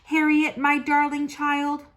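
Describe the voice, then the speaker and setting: A loving picture-book mother at the end of her patience, read aloud by a woman. Her voice sits somewhat high, and her words are drawn out and restrained. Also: slow, fairly bright tone. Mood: restrained exasperation.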